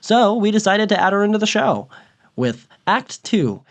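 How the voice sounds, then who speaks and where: A man's voice: high-pitched, very animated, moderate pace. A man co-hosting a show, explaining things in a casual chat. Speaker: co-host.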